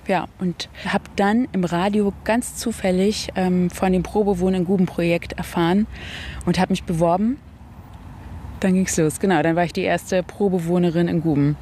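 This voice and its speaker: A woman in her thirties from Berlin chatting casually and relaxed outdoors, with frequent fillers and tag questions. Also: lowish voice, moderate pace, slight background noise. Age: 30s. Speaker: interviewee. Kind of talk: casual chat. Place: outdoors in a small town.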